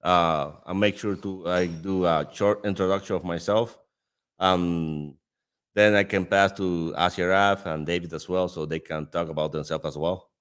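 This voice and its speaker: Deep-voiced Dominican man hosting a chat. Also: fairly even intonation, measured pace, fairly bright tone, smooth. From Dominican Republic. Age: 30s. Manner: laughs often, friendly and chatty. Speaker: host. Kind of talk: casual chat.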